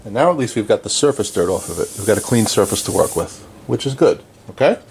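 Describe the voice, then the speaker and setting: A man giving a relaxed, chatty hands-on repair tutorial at his indoor workbench, talking to the camera while he works. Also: on the low side, fast, gravelly, a little hiss or hum. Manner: relaxed, chatty, frequent "okay?" and "um". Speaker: vintage radio restorer.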